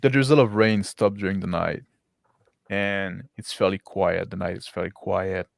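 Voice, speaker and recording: A man's voice: low voice, fairly even intonation, moderate pace, vocal fry, no echo, studio quality. A man working as game master, improvising scene narration for a tabletop roleplaying game.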